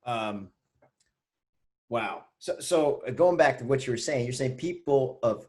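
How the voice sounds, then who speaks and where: A man's voice: mid-range voice, animated, a little slow, a little mellow. A man hosting a casual podcast interview, reacting loosely and asking follow-up questions. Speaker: podcast host, gym owner.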